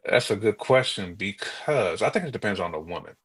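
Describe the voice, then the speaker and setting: Podcast co-host: American man, slightly deep voice, informal street-smart phrasing, animated storyteller who voices little quotes. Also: a little fast, slightly rough. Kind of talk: casual chat.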